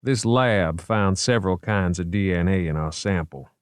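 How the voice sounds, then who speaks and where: A man voicing a genteel, erudite male investigator in a measured, formal, wry manner, in an audiobook. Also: deep voice, smooth, no room sound, studio quality, close to an everyday voice. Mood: calm, wry.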